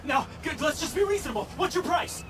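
A man's voice: extremely high pitch, expressive, brisk, far from the mic, music in the background. A man acting a cowed, pleading rich man in a video game, frightened and near tears. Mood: terrified, pleading. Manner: pleading, placating, panicky.

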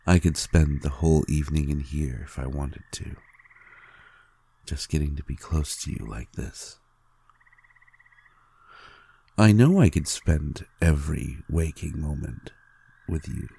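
A man playing a tender, adoring vampire lover in an intimate audio roleplay, gently teasing in a hushed, halting, gravelly voice. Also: heavily acted. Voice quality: very deep, gravelly and husky. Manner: slow, hushed, halting with pauses.

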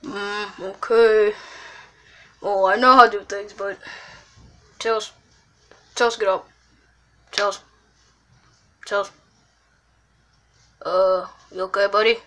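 A woman playing a young, anxious cousin in an amateur skit, soothing and pleading in halting, repeated phrases. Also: medium pitch, fairly even intonation, fairly bright tone. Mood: concerned. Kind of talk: amateur improvised character skit. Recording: music behind the voice.

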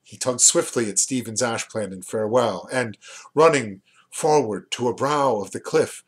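A man in his fifties reads literary fiction aloud in a deliberate, expressive way, pausing between phrases. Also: mid-range voice, breathy edge. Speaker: reader, poet and rare book seller. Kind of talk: literary audiobook-style reading of a novel. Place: small furnished room at home, indoors. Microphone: webcam or computer mic at desk distance.

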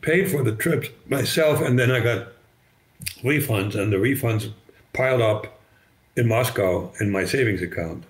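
An older man with a slight German accent, German native, telling his story as a podcast guest over a video call, halting with many restarts. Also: lowish voice. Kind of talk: conversational storytelling. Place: bright living room, indoors. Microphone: video call webcam.

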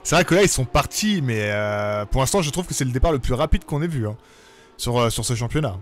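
A man giving relaxed live commentary on a sim racing event, with many hesitations and fillers. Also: mid-range voice, brisk.

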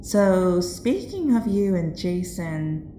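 A woman's voice: on the low side, big pitch swings, takes it slowly, mellow, roomy sound, slight background noise. An actress playing a frank, supportive teenage girl hero in an audio drama, chatty and direct. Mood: frank, friendly.

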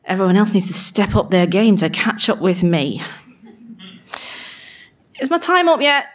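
A sardonic fairy woman, played by an actress in an audio drama. She is brooding and self-mocking, confessing to her diary in a middling-pitched voice with blunt, sweary honesty. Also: very animated, unhurried. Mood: bitter, sardonic. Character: exiled fairy woman, sardonic, guilt-ridden, grudging diarist. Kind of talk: audio drama diary monologue performed live.

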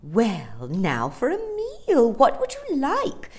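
A woman's voice: a little high, huge pitch swings, slow pace, pitched above the performer's own voice, full character voice. A woman voicing a sociable, greedy female dolphin in a storybook, with an effusive, exclamatory manner. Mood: gushing, hospitable.

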